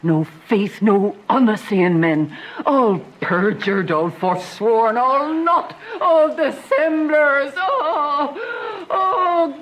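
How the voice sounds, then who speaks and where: A woman's voice: high voice, lively intonation, extremely slow, a crowd in the background, exaggerated voice, rough recording. A woman playing a fretful, devoted old nurse in a radio Shakespeare tragedy, lamenting and repeating her exclamations. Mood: bitter, weary.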